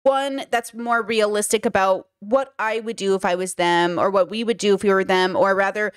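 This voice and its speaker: A woman's voice: medium pitch, steady tone, moderate pace, clean tone, dry recording. A young woman from Southern California giving casual reaction commentary, thinking aloud at a studio microphone in a small bedroom. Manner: chatty, thinks aloud, gestures a lot. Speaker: commentary YouTuber. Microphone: studio mic on boom arm.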